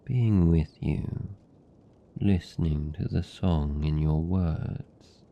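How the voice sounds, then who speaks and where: Man voicing a very deep, slow British vampire count. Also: muffled recording. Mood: wistful, lonely. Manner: soft, slow, soothing, lingering pauses. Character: lonely, tender male vampire count, possessive yet gentle. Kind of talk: intimate ASMR roleplay.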